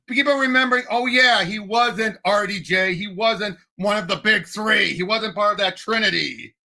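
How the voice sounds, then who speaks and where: A man in casual fan chat as a show co-host, excitable and emphatic, often halting mid-thought. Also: sits high, creaky. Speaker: co-host of a movie and comics discussion show.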